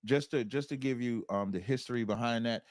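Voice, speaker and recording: A man's voice: mid-range voice, brisk, no echo, studio quality. An American man speaking as a guest in a conversational debate, assertive and explanatory. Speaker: debate guest, religious group captain.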